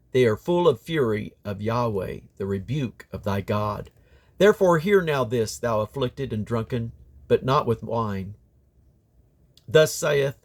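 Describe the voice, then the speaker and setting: A man reading scripture aloud in a solemn, declamatory way, with deliberate phrasing in short units. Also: mid-range voice. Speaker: Bible reader.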